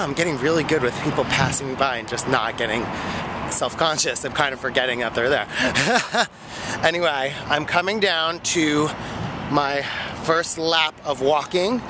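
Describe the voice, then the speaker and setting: A man in his thirties chatting casually into a handheld phone while walking along a park path, rambling with fillers and light laughs. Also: mid-range voice. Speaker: vlogger. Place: outdoor park path near a street. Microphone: phone held at arm's length. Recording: loud outdoor traffic noise.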